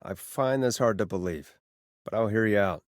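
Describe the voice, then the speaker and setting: Actor as wry, cynical radio host, dry studio sound. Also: male voice, lowish voice, normal speed, no room sound, natural voice. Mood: skeptical, dry. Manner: sardonic, hesitant stammering under pressure. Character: wry, cynical male late-night radio talk show host, rattled. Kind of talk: video game voice acting, conversational radio patter.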